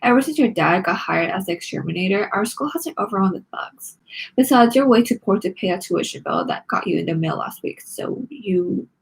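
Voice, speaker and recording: A woman's voice: medium pitch, expressive, a little fast, vocal fry, husky, poor audio. A woman voicing a snobby, taunting mean schoolgirl from a storybook. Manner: haughty, taunting.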